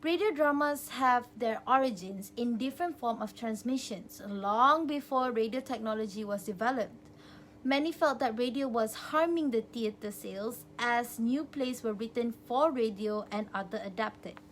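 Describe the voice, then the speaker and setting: A woman student presenter reading a scripted informational narration in a formal, measured way. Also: on the high side, fairly bright tone, a little hiss or hum, rough recording.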